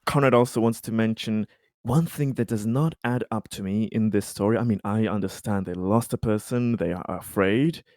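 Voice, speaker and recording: A man roleplaying in a tabletop game discussion, speaking in a hesitant, halting way. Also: on the low side, darkish tone, no echo, studio quality.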